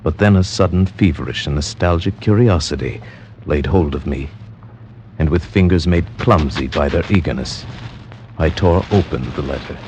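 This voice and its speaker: An actor playing a somber, anxious gentleman narrator in 1940s radio drama, with formal, dramatic, literary diction. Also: a bass voice, moderate pace, slight background noise. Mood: grave, wistful. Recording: old radio recording with music behind.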